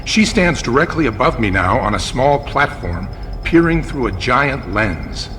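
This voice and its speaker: A man playing a formal, inquisitive radio news reporter in an old-time broadcast drama, with a deliberate announcer delivery. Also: mid-range voice, big pitch swings, a bit bright, big-room sound, a little hiss or hum. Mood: formal, descriptive.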